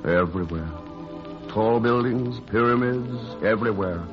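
An actor playing a wistful romantic painter in a classic radio drama, hesitant and bantering with an old love. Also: male voice, lowish voice, unhurried, lightly acted. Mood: wistful, hurt. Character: wounded, wry romantic painter visiting his lost love. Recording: muffled old radio broadcast, music underneath.

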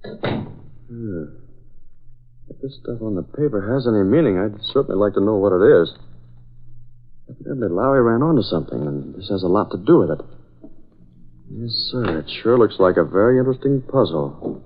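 Composed young publisher, muffled sound. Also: male voice, fairly low pitch, lively intonation, normal speed, long pauses, husky. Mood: pondering. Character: composed young male newspaper publisher, thoughtful boss. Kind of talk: 1940s radio drama acting. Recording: muffled old radio recording.

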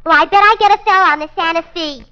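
Squawky, very high-pitched, man-hungry New gal. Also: quick pace, sharp tone, a faint crowd. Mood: brash, eager. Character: brash, scatterbrained, man-hungry young single woman. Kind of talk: 1940s radio comedy acting. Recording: dull, lo-fi 1940s radio recording.

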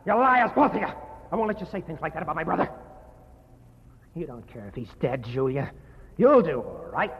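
A man's voice: fairly high pitch, sing-song, fairly quick, pauses often, slightly rough, heavily acted. An actor playing a distraught young man who defends his dead brother, in a 1940s radio drama. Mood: frantic. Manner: desperate, threatening, breaking down. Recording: muffled old radio broadcast with long reverb.

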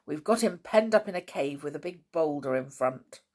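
A woman's voice: sits low, lively intonation, normal speed, darkish tone. A woman voicing an angry, bullying, scornful man with a revolver in a storybook, barking threats and clipped commands.

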